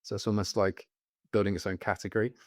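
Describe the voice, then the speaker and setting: A man's voice: lowish voice, flat delivery, quick pace, darkish tone, slight background noise. A man explaining his medical device plainly and matter-of-factly. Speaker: guest, medical device innovator.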